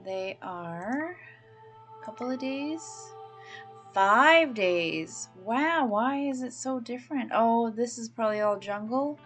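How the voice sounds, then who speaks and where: A woman from Canada talks through her game at an easy, slow pace, musing aloud at her desk mic. Her voice sits a little high for a woman and stays warm and chatty. Faint background music plays behind her. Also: expressive. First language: English. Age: middle-aged. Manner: chatty, thinks aloud, coos at baby animals. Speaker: let's play host. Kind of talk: casual gameplay commentary.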